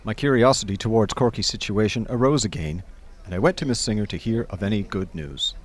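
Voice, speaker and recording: An actor playing an idle, chummy young upper-class gentleman, narrating a radio drama in breezy, wordy old-fashioned slang. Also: lowish voice, a little fast, close to an everyday voice. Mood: chatty. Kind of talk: scripted first-person radio drama narration. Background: music.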